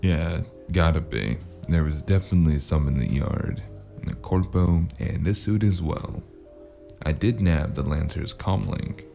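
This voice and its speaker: A man playing a laconic, world-weary cyberpunk investigator in a noir audio drama, gravelly, terse and deadpan. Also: a little slow, faint music behind, exaggerated voice. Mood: dry, guarded. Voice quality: very deep, gravelly.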